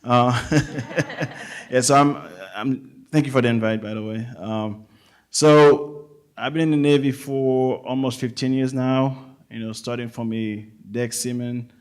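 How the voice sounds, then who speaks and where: A man's voice: medium pitch, fairly even intonation, unhurried, smooth. A man in his thirties from Haiti with a Haitian accent, a Navy chief speaking casually from the audience at a forum and often tagging his sentences with "right?". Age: 30s. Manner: conversational, tags sentences with "right?". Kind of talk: casual public remarks at a forum.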